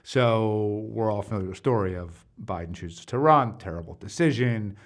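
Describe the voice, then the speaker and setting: A man with a slightly low voice hosts a podcast interview. He talks informally, explaining points and putting questions in a conversational way. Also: normal speed. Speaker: podcast host.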